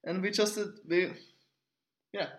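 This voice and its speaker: A man's voice: sits high, steady tone, measured pace. A man from Switzerland with a Swiss accent in English, co-hosting a casual podcast in a teasing, playful way. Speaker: podcast co-host. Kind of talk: casual, joking podcast chat. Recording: roomy, echoey indoor sound.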